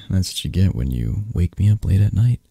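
A man playing a possessive, flirtatious mafia boss who comforts a lover in intimate ASMR roleplay, murmuring softly and haltingly in a breathy, gravelly voice. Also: sits low, very animated, dry recording, heavily acted. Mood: affectionate, bashful. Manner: slow, soft, halting, soothing murmur.

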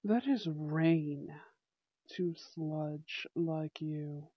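ASMR roleplay role: aloof young male prince played by a woman, measured, formal, commanding; dry, muffled sound. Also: low voice, dry recording, voice pushed down, exaggerated voice. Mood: cold, contemptuous. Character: young male bad-boy school prince, protective, aloof. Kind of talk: amateur ASMR audio roleplay acting.

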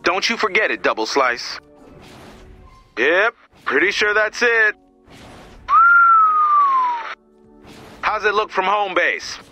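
An actor playing a no-nonsense, exasperated heroic team leader giving mission dialogue in a video game. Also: male voice, high voice, animated, a little slow, over loud music. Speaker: mission commander.

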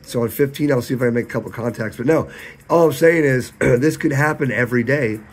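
A man with a hoarse, sick voice, casually chatting about his ham radio setup at night. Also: medium pitch, quick pace. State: hoarse, losing his voice from illness. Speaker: ham radio video host. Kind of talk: casual vlog narration with radio contacts. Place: home radio shack at night.

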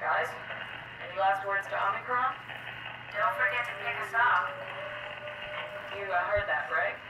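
An actress playing a brisk woman expedition leader radioing in from a dive in a sci-fi game. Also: medium pitch, close to an everyday voice. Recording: distant crackly radio with loud noise and heavy echo.

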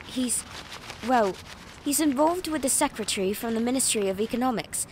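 A woman's voice: a little high, animated, moderate pace, background noise, lightly acted. An actress playing an eager, nervous young female reporter in an audio drama, chatty and hesitant. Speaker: voice actor.

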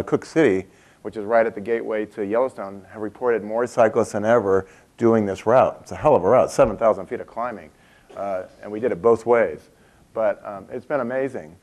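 A man's voice: mid-range voice, darkish tone. Man in his fifties, conference keynote, chatty and joking, quizzes the crowd, large meeting room. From Montana. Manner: chatty, asks the audience questions, laughs at his own stories. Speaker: keynote speaker.

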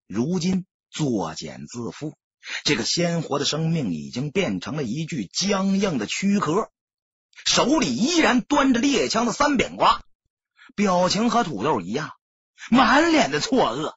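A man narrating a crime story as an audiobook, in a theatrical, emphatic storytelling style with a husky, raspy voice. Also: high-pitched, pushed and bright, dry recording, highs cut off.

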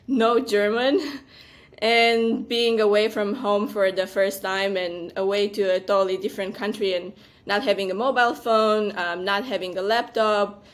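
A young woman with a Romanian accent speaks as an interview guest. Her voice sits at an average pitch, and she talks in a conversational way over a video call from a room at home. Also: fairly even intonation, moderate pace. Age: young adult. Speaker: podcast guest, venture capital partner.

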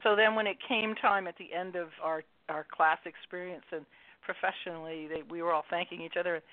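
A woman's voice: lowish voice, fairly even intonation, fairly quick, no room sound. A woman author, a radio guest, telling stories in casual chat and laughing at herself. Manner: chatty storyteller, laughs at herself. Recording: dry, tinny phone line.

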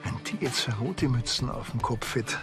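A man's voice: medium pitch, expressive, moderate pace, music in the background, lightly acted. An actor playing a dry, sardonic, blunt provincial policeman in a TV crime comedy.

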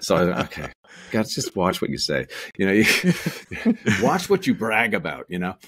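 Low husky middle-aged man. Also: huge pitch swings, normal speed. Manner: rambling storyteller, many restarts and asides. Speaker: actor and guest. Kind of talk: casual interview chat. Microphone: webcam on video call.

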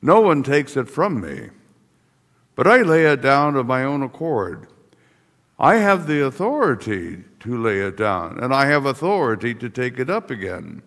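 An older male pastor reads the gospel with unhurried, deliberate phrasing, each line given plenty of space. His voice carries through the sanctuary, trailing into a long church reverb. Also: medium pitch, very animated, fairly bright tone. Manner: slow, deliberate liturgical reading. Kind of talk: reading the gospel in a service.

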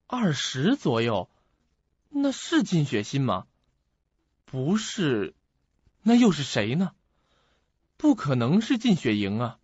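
Actor as an anxious young man, widely swinging pitch. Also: wildly swooping pitch, slow, pauses often, darkish tone, breathy edge, no room sound, heavily acted. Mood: agitated, questioning. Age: young adult. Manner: dramatic, hesitant, self-questioning. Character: anxious, puzzled young man searching for answers. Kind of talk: radio drama acting. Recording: muffled lo-fi audio, music behind.

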